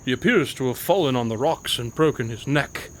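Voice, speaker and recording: An actor playing a shrewd, composed detective in an audio drama, with formal, precise, theatrical diction. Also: male voice, medium pitch, animated, a little fast, a little hiss or hum, natural voice. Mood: guarded. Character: shrewd, composed male detective, commanding and quick-witted.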